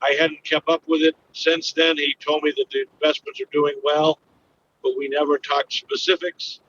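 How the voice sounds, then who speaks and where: A plain-spoken man answering interview questions in a calm way. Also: fairly high pitch, moderate pace, recorded from a distance, highs cut off, background noise. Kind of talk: recorded interview.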